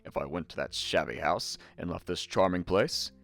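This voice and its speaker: A scornful young storybook lad, voiced by a man, foolish and sure of himself. The voice sits fairly low and sounds dismissive, over faint music. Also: normal speed, vocal fry, fairly bright tone. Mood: smug. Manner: dismissive, self-assured. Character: scornful, foolish young eldest son of a gardener.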